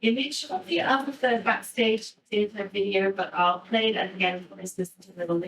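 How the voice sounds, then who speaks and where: A woman's voice: mid-range voice, normal speed, a bit bright. A woman, a theatre director, giving an informal talk to an audience in a hesitant, conversational way. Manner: conversational, hesitant, thinking aloud. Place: echoey room, speaking to an audience. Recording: distant microphone in an echoey room.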